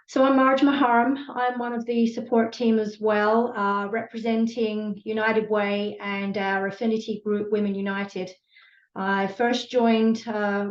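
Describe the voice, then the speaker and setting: A British woman speaking earnestly and passionately about her community work, with plenty of ums and uhs. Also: medium pitch. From Britain. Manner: earnest, passionate, many fillers. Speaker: community support team member. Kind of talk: online panel conversation. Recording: echoey room, dull lo-fi sound.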